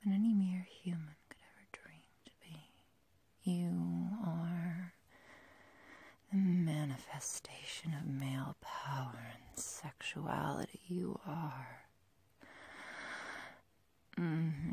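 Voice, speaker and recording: A woman's voice: low voice, darkish tone, full character voice. A woman playing an obsessive, lovestruck yandere girl kidnapper in a whispered, intimate, possessive ASMR roleplay. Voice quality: breathy, whispery. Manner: slow, intimate, halting, possessive.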